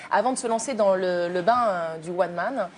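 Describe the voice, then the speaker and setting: A woman presenting and interviewing as a television talk show host, chatty and lively. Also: fairly low pitch, normal speed, a little hiss or hum. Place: television studio with audience.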